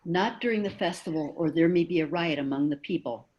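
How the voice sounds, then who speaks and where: Questioning follower in a scripture drama, read by a woman: husky, lower register, home video-call audio. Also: a little fast, a little mellow, muffled recording, lightly acted. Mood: wary. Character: questioning followers and plotters in the Passion story.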